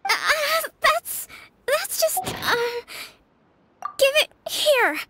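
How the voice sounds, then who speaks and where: A woman voicing a gentle, weary young priestess and leader in a video game, soft and earnest, with sighing delivery. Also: very high voice, sing-song, exaggerated voice. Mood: flustered. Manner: earnest, measured, confiding. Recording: background music under the voice.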